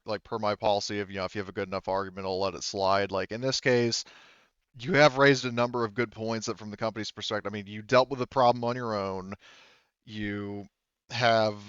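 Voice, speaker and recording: Low-voiced man explaining game rules. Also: fairly bright tone, clear, resonant tone, no echo, muffled recording. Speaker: game master. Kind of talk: casual rules talk at a tabletop game.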